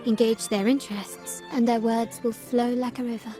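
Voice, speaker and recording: An actress playing a poised young heiress of a crime family in a video game, speaking formally and precisely. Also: female voice, a little high, flat delivery, moderate pace, mellow, lightly acted. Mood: composed, businesslike. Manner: composed, formal, articulate. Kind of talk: scripted video game dialogue. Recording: music behind.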